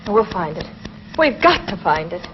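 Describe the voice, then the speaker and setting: A woman's voice: on the low side, very animated, normal speed. An actress playing an anxious but determined spacewoman in a 1950s television sci-fi serial. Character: anxious, determined young spacewoman crew member. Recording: dull, faintly noisy 1950s TV soundtrack.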